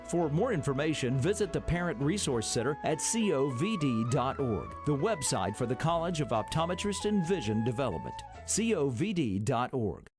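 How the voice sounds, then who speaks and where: Animated male announcer over music. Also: medium pitch. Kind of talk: scripted public service announcement narration. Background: background music.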